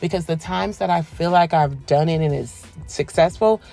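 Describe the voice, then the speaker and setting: Soft-spoken low, gravelly woman. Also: normal speed. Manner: soft, quiet, polite phone-etiquette voice; relaxed, rambling with many ums. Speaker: nail tutorial creator. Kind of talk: soft-spoken voice-over tutorial. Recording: voice-over with faint background music.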